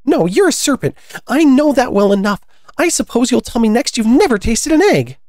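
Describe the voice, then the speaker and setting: A woman's voice: on the high side, sing-song, moderate pace, darkish tone, dry recording, studio quality, pitched above the performer's own voice. A woman voicing a frantic, scolding mother pigeon from a storybook, with desperate, shrieking complaints. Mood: scornful. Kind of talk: storybook character voice.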